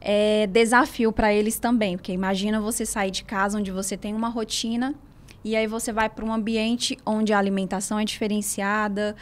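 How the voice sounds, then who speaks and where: Measured Northeastern Brazilian woman guest. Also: mid-range voice, flat delivery. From Brazil, Ceará. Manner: measured, explanatory, frequent tag questions. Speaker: guest physiotherapist. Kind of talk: interview answers.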